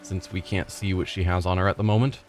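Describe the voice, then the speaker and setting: A man hosting a let's play, reading game text aloud and musing in a relaxed, chatty way. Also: sits low, fast talker, noisy background. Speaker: gaming channel host.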